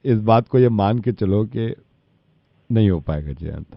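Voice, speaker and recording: A man playing a solemn middle-aged father on a prank call, slow, apologetic and paternal, with a deadpan delivery. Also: lowish voice, vocal fry, dry recording, muffled recording, exaggerated voice.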